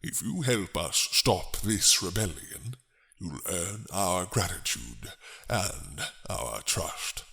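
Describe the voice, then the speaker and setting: Man acting as regal king, low voice, very slow, grave, formal, fantasy audio roleplay. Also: expressive, vocal fry, sharp tone, heavily acted. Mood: grave, commanding. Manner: stately, deliberate, formal.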